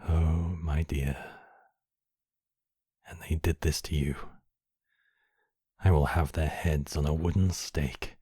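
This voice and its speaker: Demon king for intimate ASMR roleplay male actor: cavernously low, husky breathy voice, possessive and courtly, threatening to others yet gently sheltering, measured delivery. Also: fairly even intonation, clean studio sound, exaggerated voice. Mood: quietly furious. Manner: formal, courtly, measured phrasing with soft breathy drops. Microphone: close microphone, dry.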